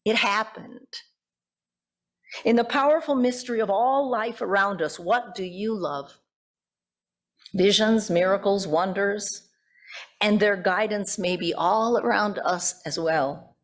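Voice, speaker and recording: A woman pastor giving a sermon in deliberate, emphatic phrases, often posing rhetorical questions. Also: mid-range voice.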